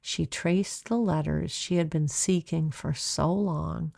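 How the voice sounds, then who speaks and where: A woman narrating a children's storybook in a measured, expressive way, like an author reading aloud. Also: low voice, vocal fry, dry recording, clean studio sound.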